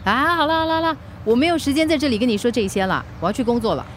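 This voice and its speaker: An actress playing a harried, plucky working mother with a Singaporean accent, expressive and earnest in a radio drama. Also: high-pitched, very fast, slight background noise, close to an everyday voice. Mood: exasperated, earnest. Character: harried, plucky working mother turned food delivery rider.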